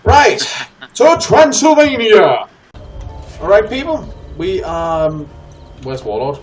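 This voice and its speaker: A man hosting a gaming let's play, chatting casually to his audience and reading game text aloud in an animated way. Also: sits high, slow pace, faint music behind.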